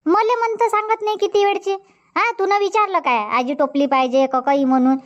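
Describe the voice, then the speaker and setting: Cartoon granny, acted out: high voice, blunt and scolding, Varhadi Marathi accent, muffled lo-fi sound. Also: steady tone, brisk. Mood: grumbling. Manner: nagging, threatening, blunt. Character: scolding, stern old village grandmother. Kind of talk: cartoon comedy dialogue. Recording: dull, muffled lo-fi audio.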